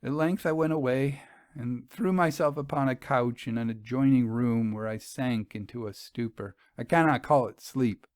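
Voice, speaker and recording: A man's voice: medium pitch, moderate pace, darkish tone, no room sound, studio quality. A middle-aged man giving a dramatic reading of a gothic first-person tale, grave and sorrowful, his voice rising in anguish at its peaks. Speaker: narrator.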